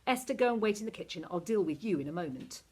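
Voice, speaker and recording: A woman voicing a stern, impatient small woman in a storybook, loud and commanding. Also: medium pitch, expressive, fast talker, breathy edge. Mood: angry, impatient. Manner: commanding, sharp.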